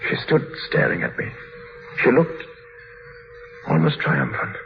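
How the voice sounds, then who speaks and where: An actor in an old radio drama playing a tormented husband making a grave, halting, dramatic confession on a muffled, noisy vintage radio recording. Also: male voice, extremely low pitch, huge pitch swings, soft background music, heavily acted. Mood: haunted.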